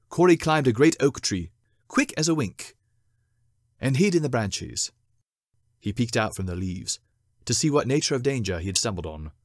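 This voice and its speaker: A man reading a story as narrator, with dramatic, deliberate, theatrical phrasing. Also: fairly low pitch, no echo, clean studio sound. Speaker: narrator, short story reader.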